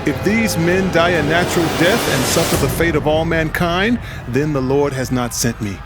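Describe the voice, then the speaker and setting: A man's voice: fairly high pitch, normal speed, loud music behind the voice, voice pushed up, lightly acted. A man voicing an old prophet who speaks earnestly and warns the people. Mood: solemn. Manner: earnest, warning. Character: solemn old male prophet and leader.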